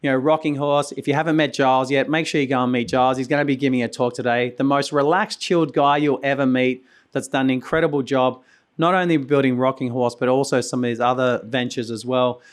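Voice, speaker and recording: A hoarse man, losing his voice, hosting a conference and giving a loose, rambling keynote full of restarts and coughs. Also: mid-range voice, steady tone, brisk. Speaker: conference host and company founder. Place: conference venue.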